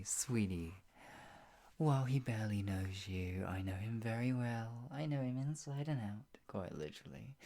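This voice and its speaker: A performer playing a sadistic, obsessive yandere captor in an improvised ASMR roleplay, creaky, taunting and drawn out, slipping between talk and whispers. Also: deep voice, dark tone. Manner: taunting, drawn-out delivery with frequent giggles and whispers.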